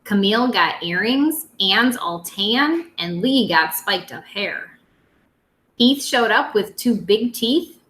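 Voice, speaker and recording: A woman teacher reading a picture book aloud to young children in an expressive, simple way. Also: medium pitch, reverberant.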